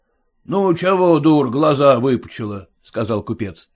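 A man's voice: mid-range voice, lively intonation, unhurried, darkish tone. A man voicing a stingy, domineering merchant who speaks bluntly and scolds his servants. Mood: gruff bossy. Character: gruff stingy old merchant, bossy.

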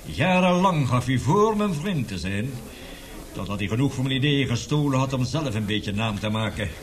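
A man's voice: medium pitch, animated, normal speed, lightly acted. An actor in a radio drama playing an embittered, passionate male professor who lectures emphatically and indignantly. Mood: indignant, bitter.

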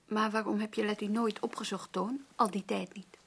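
Husky, gently probing visitor. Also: female voice, mid-range voice, fairly even intonation, normal speed. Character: concerned, gently probing female visitor, polite and persistent. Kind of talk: naturalistic radio drama acting.

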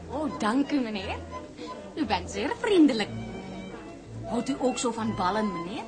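A woman's voice: fairly high pitch, sing-song, measured pace. A woman playing a coy, haughty society lady with a prim, gushing and flirtatious way of talking. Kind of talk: comic period drama dialogue. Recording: loud dance music behind dialogue.

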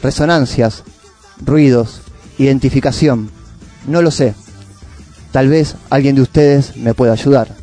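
A man with a Rioplatense Argentine accent reads literary prose as a radio presenter. His voice is soft-edged and mellow, and his delivery is reflective and poetic. Light music plays underneath. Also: mid-range voice, measured pace, long pauses. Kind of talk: literary reading and monologue for a radio show.

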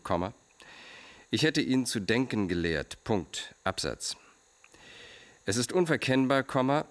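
A man playing a detached psychiatrist dictating case notes in a German radio drama. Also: low voice. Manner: detached, dictates punctuation aloud.

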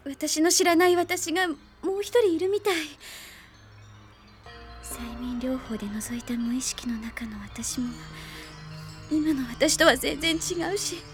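High-voiced, uncertain young woman. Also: lively intonation, a little slow, music in the background. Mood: bewildered, wondering. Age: young adult. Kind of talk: anime radio drama acting.

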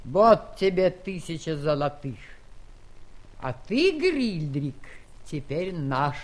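An actress playing an imperious, playful giant queen in a theatrical, deliberate manner for a children's audio play. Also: sits low, big pitch swings, full character voice. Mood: gracious command.

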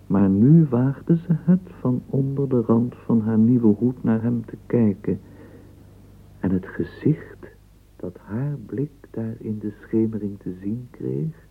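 A man narrating a literary radio drama in measured storytelling style. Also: lowish voice, slightly rough. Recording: thin phone-like old radio recording.

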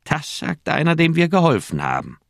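An actor playing an earnest, insistent middle-aged doctor in a radio crime drama, speaking in an emphatic, persuasive way. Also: male voice, on the high side, huge pitch swings, moderate pace, creaky, dry recording, studio quality, exaggerated voice. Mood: indignant.

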